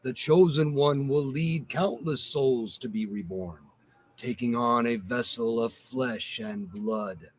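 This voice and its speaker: An actor playing a calm, solemn bishop in a fantasy video game, speaking in a formal, measured, prophetic manner. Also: male voice, mid-range voice, close to an everyday voice.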